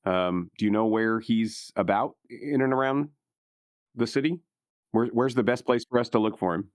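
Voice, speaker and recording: Low male voice, casual tabletop player, in-character talk, dry close sound, soft music. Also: normal speed, no room sound. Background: soft guitar music.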